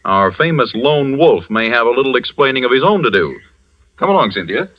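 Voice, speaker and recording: A pompous, overbearing rich host, played by an actor in an old radio drama. His mid-pitched voice moves lively up and down as he lays down the law. The vintage radio recording sounds dull and lo-fi. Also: fairly quick, heavy background noise, close to an everyday voice. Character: pompous, smooth wealthy male party host. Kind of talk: 1940s radio acting.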